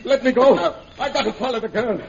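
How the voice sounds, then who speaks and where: A man's voice: extremely high pitch, animated, fairly quick, gravelly, full character voice. An actor playing a frightened, crazed henchman in a 1930s radio serial, agitated, pleading and repeating himself. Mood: desperate. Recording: noisy, muffled vintage radio recording.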